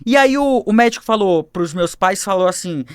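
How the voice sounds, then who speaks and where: A man telling his life story as a podcast guest, animated and acting out the people he quotes, on a studio mic. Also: sits high, normal speed, clear, resonant tone, dry recording. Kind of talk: personal storytelling in a podcast interview.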